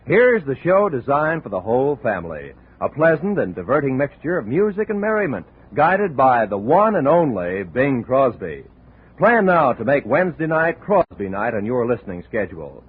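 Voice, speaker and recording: Animated American old-time radio announcer, male. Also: medium pitch, moderate pace, breathy edge. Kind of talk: 1950s radio announcing and promotion. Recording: tinny old radio broadcast.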